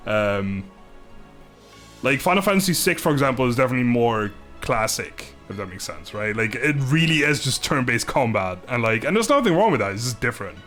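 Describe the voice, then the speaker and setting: A man's voice: mid-range voice, sing-song, brisk, a bit bright, soft background music. Laid-back Dutch man streaming. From Netherlands. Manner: chatty, laughs often, stumbling restarts, swears casually. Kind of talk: casual live-stream chat reading chat.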